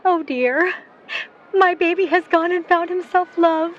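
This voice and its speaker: A woman playing a protective, skeptical middle-aged mother in a 1950s New York audio drama, speaking in formal, measured, wordy sentences. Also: very high voice, fairly even intonation, dull audio, slight background noise. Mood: bewildered. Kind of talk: vintage-set audio drama acting.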